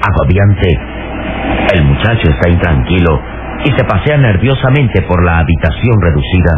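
A man's voice: deep voice, expressive, moderate pace. Male narrator, dramatic scripted delivery, suspenseful, phone-like old radio recording, strong noise. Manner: solemn, suspenseful.

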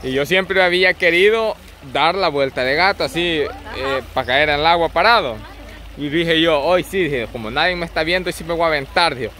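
A man's voice: fairly high pitch, normal speed, pushed and bright. A man with a Central American Spanish accent chatting casually and teasingly as he hosts, outdoors by a river. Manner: teasing, jokey, chuckles often. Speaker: host filming and chatting with group. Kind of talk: casual chat. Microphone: handheld camera held by the speaker. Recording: outdoor river noise and group voices.

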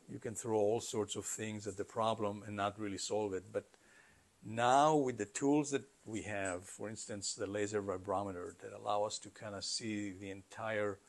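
Low-pitched man, middle-aged, interviewee, halting delivery, conversational, explanatory. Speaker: company founder being interviewed. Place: carpeted listening room, indoors.

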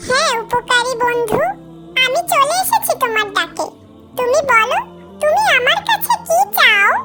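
Tiny, very high magical fish. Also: very animated, a little slow, sharp tone, faint music behind, heavily acted. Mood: helpful. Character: kindly magical golden fish granting wishes. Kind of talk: children's cartoon voice acting.

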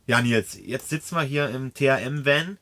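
A man's voice: medium pitch, normal speed, fairly bright tone. A man co-hosting a camping vlog, chatting casually and enthusiastically from inside a camper van. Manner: enthusiastic, slangy, talks straight to viewers. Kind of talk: casual chat.

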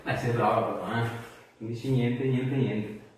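A man's voice: medium pitch, fairly even intonation, normal speed. A man, an osteopath casually giving a patient reassuring, encouraging instructions in a treatment room. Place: small treatment room, indoors. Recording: echoey room, distant mic, faint noise.

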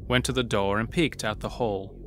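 A man narrating a dark horror audio drama as its storyteller. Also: on the low side, steady tone, a little fast, a little hiss or hum, lightly acted. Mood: grave storytelling.

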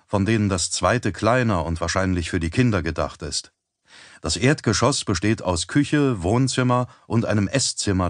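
A man reading an audiobook as narrator in a measured, even storytelling manner on a close studio mic. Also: sits low, dry recording. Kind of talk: scripted narration.

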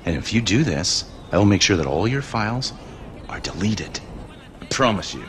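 An actor playing a smug, volatile, corrupt American government agent in a video game, showy and self-righteous. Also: male voice, fairly low pitch, very animated, normal speed, fairly bright tone, slight background noise. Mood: smug, coaxing. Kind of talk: cinematic video game acting.

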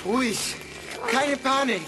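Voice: high voice, slow pace, low-quality recording. An actor playing a plucky, protective schoolboy in an audio drama, reassuring and urgent. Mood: tense, soothing. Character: plucky, protective schoolboy calming a frightened friend. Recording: loud music behind.

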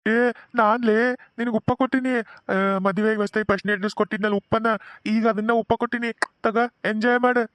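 A fast-talking, high-pitched man's voice plays the ghost of a dead husband, voiced by the actor. He lectures his son and boasts about himself over a dry, close mic. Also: flat delivery, a bit bright, dry recording. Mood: teasing. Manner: lecturing, self-praising.

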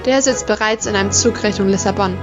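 A woman's voice: mid-range voice, fast, muffled recording, music in the background, natural voice. An actress playing a relieved, reassuring mother in a radio drama.

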